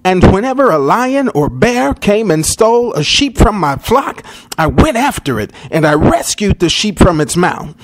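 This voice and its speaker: Man playing a brave, high-pitched teenage shepherd boy. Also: big pitch swings, moderate pace. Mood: proud, determined.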